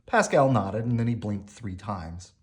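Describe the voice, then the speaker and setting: A man reading a children's bedtime story aloud in an animated, emphatic way. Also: fairly low pitch, a little slow. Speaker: storybook reader.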